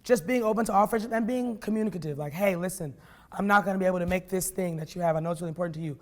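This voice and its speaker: A woman's voice: fairly low pitch, racing. A woman from Oakland, a jazz artist talking in a casual interview, blunt and playful, full of slang. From Oakland, California.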